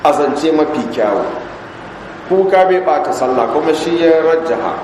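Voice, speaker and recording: A man with a Hausa accent, a Nigerian Islamic scholar teaching in a mosque, reading Arabic lines and then explaining them slowly. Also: on the high side, lively intonation, darkish tone. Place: large mosque hall. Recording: echoey hall recording with background noise.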